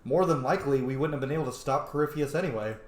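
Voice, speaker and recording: A man talks casually through a game in a roomy-sounding space. His pitch sits in the middle, and he muses aloud, often addressing the characters directly. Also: rapid-fire. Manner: musing aloud, talking back to characters. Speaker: streamer playing a role-playing game.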